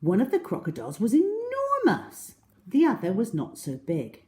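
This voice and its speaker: A woman reading to children like a primary teacher, expressive, with deliberate emphasis. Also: on the high side, roomy sound. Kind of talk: children's storytelling, expressive narration.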